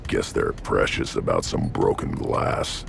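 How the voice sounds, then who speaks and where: A man voicing a young, hulking alien brute in a video game, blunt and deadpan, with a growling voice. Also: rumbling low, unhurried, faint music behind, heavily acted. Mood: deadpan, unbothered. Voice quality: gravelly, growling. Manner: terse, deadpan.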